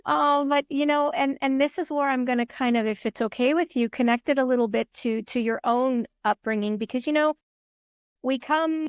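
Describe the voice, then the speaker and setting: A woman hosts a podcast in a fairly high voice. She asks her questions gently and affirms her guest, with searching, unpolished phrasing. The sound is dry and close-miked. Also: dry recording. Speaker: podcast host. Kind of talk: interviewing a guest.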